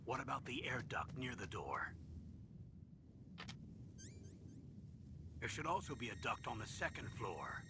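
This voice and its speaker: An actor playing a stern, steady older military commander in a video game, with a measured, authoritative delivery. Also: male voice. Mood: firm. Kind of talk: scripted video game voice acting. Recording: clearly noisy game audio with low rumble.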